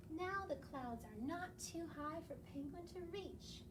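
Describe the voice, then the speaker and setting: Woman, high voice, children's story time host. Reads picture books aloud and prompts little listeners. Noisy, distant recording. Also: lively intonation, unhurried. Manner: addresses young children with questions and encouragement. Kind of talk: children's read-aloud and singalong. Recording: distant mic, clearly noisy background.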